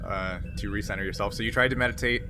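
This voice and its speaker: A man's voice: lowish voice, fairly even intonation, quick pace, noisy background. A man working as game master, narrating and refereeing a tabletop game in an improvised, explanatory way. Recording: music behind the voice. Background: music.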